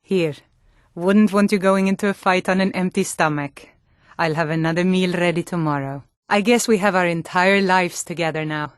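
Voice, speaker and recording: An actress playing a sturdy, earnest Nord woman in a fantasy video game, with clear, deliberate delivery. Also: lowish voice, dry recording, clean studio sound, close to an everyday voice. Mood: affectionate.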